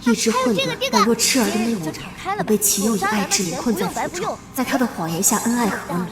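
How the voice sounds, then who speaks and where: A woman's voice: on the high side, sing-song, fast talker. A woman playing a proud, wronged young noblewoman, narrating her own bitter story in a dramatic motion-comic drama. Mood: bitter, sorrowful. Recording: roomy reverb under loud music.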